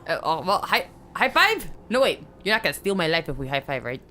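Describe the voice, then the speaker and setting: Bright, lively tabletop game player. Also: mid-range voice, brisk, slight background noise. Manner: incredulous, questioning, wry. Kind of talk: casual in-character table banter.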